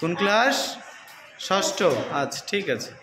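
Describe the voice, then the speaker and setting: A woman's voice: mid-range voice, very animated, a little slow. A woman with a Bangladeshi accent teaching a school class, encouraging pupils and correcting them as they go. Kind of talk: classroom English grammar lesson, prompting pupils. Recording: echoey classroom, faint background noise.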